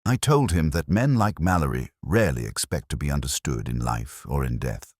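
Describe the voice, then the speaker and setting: Very deep-voiced male story narrator. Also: expressive, a little mellow, no room sound, studio quality. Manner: literary, measured, brooding. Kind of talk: scripted first-person detective story narration.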